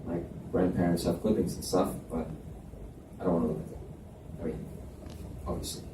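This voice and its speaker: A man reading the part of a reserved, arrogant and awkwardly direct young student in a romance novel, quiet, clipped and stiff. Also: deep voice, little pitch movement, a little fast, pitched below the performer's own voice, natural voice. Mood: quiet, subdued. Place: library event space, indoors. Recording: echoey room, distant mic, faint noise.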